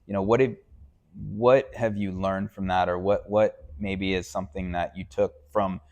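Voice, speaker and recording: A man's voice: low voice, fairly even intonation, moderate pace, darkish tone. A man interviewing a guest as show host, talking in a chatty, conversational way and repeating himself for emphasis.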